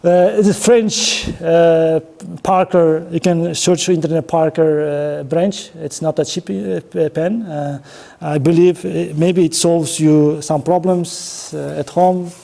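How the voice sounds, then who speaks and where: A male presenter with a distinctly high voice and a dark, rounded tone. He speaks English with an Uzbek accent in a halting, choppy way. His delivery is informal and interactive, addressing an audience directly. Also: fairly even intonation, gravelly. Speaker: conference speaker running an audience networking game.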